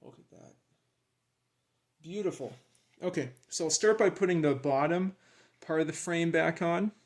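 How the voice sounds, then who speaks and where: A man casually narrating as he works with his hands, in a creaky voice, absorbed and admiring, dropping murmured asides. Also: on the high side, measured pace. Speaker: hobbyist demonstrating a radio rebuild.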